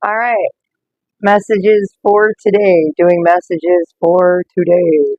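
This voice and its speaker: A woman talks slowly and gently with a slightly rough, worn voice, reading oracle cards in a casual live stream. The sound is dry and close, with no room around it, and the audio is dull and lo-fi. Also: on the low side, steady tone, no room sound. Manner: slow, gentle, greets viewers by name. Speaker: oracle card reader.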